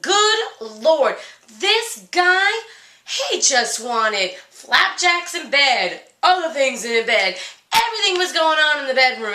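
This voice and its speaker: A woman's voice: sits high, sharp tone, heavily acted. A woman voicing a brash, vain, fed-up fairy-tale princess in a comedy mock interview, gossipy and crude with chatty asides. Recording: roomy, echoey sound.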